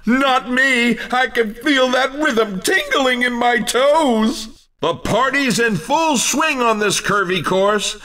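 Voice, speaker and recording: An exuberant, wisecracking race announcer for a video game. The voice rides very high and bright, with a forceful edge. He takes his time over each punchy, playful line like a showman savouring it, sounding a little way off from the mic. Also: far from the mic, exaggerated voice. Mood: festive. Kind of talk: scripted excited race commentary.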